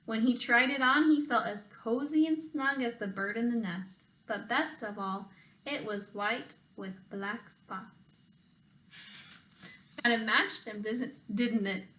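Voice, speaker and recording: A woman reading a picture book aloud to children in an animated, expressive storytime style. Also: fairly high pitch, slow, a little hiss or hum, poor audio. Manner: expressive, emphasizes phrases for children. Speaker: storytime reader for children, teacher.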